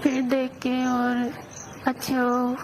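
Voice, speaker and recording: A woman plays a young newlywed bride confiding in her lover in an audio drama. Her voice is fairly high and unhurried, with little melody and a hesitant, plaintive manner. Clear noise sits behind this amateur call-style recording. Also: monotone. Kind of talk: intimate phone-call storytelling in audio drama.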